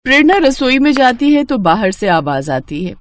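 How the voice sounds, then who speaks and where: A woman narrating a scripted animated family story. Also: sits high, normal speed, no room sound.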